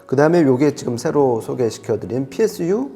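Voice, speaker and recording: A man's voice: mid-range voice, very animated, brisk, mellow, soft background music. A man explaining products conversationally as an audio reviewer, with an emphatic manner.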